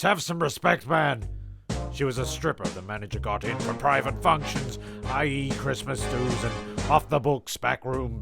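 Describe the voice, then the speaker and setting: Narrator, played by a man: mid-pitched, gravelly, rambling, self-important comedy podcast storyteller. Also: natural voice. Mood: indignant. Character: rambling, indignant podcast narrator telling absurd anecdotes. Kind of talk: comic monologue and scripted podcast. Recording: music behind the voice.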